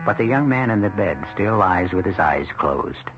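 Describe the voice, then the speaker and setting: A deep-voiced man narrates a radio mystery in a measured, solemn way. The recording is muffled and old, with music behind him. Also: animated. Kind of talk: scripted radio drama narration. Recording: dull lo-fi radio with hum.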